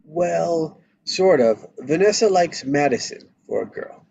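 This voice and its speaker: Man playing a gravelly, sardonic husband. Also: a little high, animated, slow pace, darkish tone, no echo, dull audio, exaggerated voice. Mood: wry. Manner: casual, wry. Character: laid-back, sardonic married man, expectant adoptive father. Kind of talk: live script table read.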